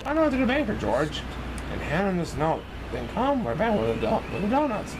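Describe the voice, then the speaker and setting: A man voicing a fatherly storybook man who teaches and gently scolds, with an instructive, chiding manner. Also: sits high, huge pitch swings, brisk, background noise, pitched above the performer's own voice, heavily acted. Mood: instructing.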